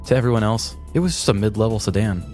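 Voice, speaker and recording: A man co-hosting a podcast, telling a scripted comedic story in a deliberate, mock-grandiose way. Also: on the low side, music in the background. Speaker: podcast co-host.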